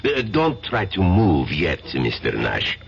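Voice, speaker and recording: A man's voice: low-pitched, huge pitch swings, slow pace, exaggerated voice. A man playing a suave, menacing foreign crime boss in a radio drama, with a mocking foreign-sounding accent. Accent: French-sounding foreign. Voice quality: gravelly, smooth and mocking. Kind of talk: 1970s radio drama acting. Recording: dull vintage radio broadcast, faint hiss.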